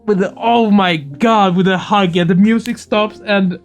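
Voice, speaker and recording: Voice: mid-range voice, a little slow, faint music behind. A gaming video host chatting casually and effusively, earnest and prone to swearing. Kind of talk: casual excited chat after a game playthrough.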